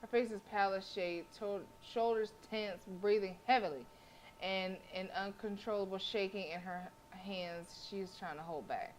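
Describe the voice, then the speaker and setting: A woman's voice: mid-range voice, moderate pace, a little hiss or hum, low-quality recording. A woman livestreamer reading a visual novel aloud dramatically, with casual asides and stumbles she corrects as she goes.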